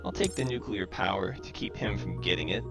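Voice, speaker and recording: A man's voice: sits low, fairly quick, gravelly, music in the background. Robotic-sounding man thinking aloud over a game. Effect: robotic-sounding microphone distortion. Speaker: gamer commentating own match. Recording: robotic, glitchy home microphone.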